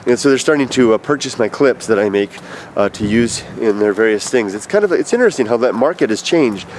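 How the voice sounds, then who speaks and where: A man in his fifties, stuffed up with allergies, leaving a rambling, halting video message full of fillers. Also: mid-range voice, lively intonation. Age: 50s. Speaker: vlogger. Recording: noisy outdoor street recording.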